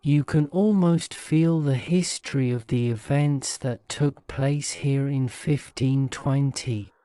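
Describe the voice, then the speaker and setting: A man narrating a guided-imagery sleep story in a soothing, measured manner. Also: mid-range voice, dark tone, clear, resonant tone, no room sound.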